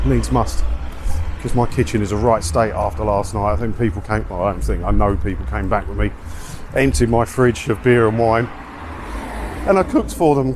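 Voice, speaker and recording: Chatty English man vlogging outdoors, some street noise. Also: lowish voice. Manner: chatty, rambling, self-deprecating, grumbling. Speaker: video blogger, host. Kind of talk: casual walk-and-talk vlog. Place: outdoors, walking along a windy seaside town street. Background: wind, street and vehicle noise.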